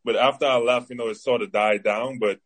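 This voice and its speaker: A man's voice: medium pitch, fairly even intonation, fairly quick. A man in his twenties from the Bahamas with a Bahamian accent, an athlete giving casual interview answers as a guest. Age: 20s. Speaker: interview guest, football player.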